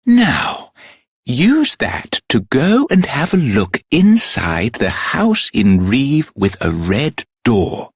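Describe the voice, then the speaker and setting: A man's voice: mid-range voice, vocal fry, slightly rough, no room sound, studio quality, exaggerated voice. An actor with a British accent playing a genial, quaint townsman in a fantasy video game, chatty and theatrical. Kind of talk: video game character acting.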